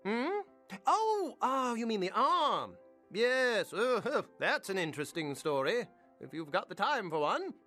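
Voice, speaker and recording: A fussy, unsettling little gentleman shopkeeper from a video game. His oily politeness swoops up and down in fluttery hesitations, with faint music underneath. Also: high-pitched, very animated, unhurried, heavily acted. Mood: eager, creepy. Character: fussy, creepy male prosthetics shop owner, politely obsessive. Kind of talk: video game character acting.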